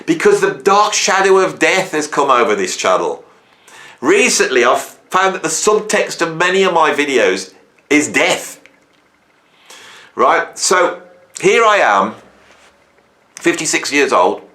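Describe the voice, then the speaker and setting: A man's voice: on the high side, sing-song, measured pace, pauses often, bright, forceful tone. A man in his fifties hosting a music channel, talking to camera in a rambling, self-questioning way and often tagging his points with "right?". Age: 50s. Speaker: YouTube music channel host. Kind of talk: reflective solo talk to camera.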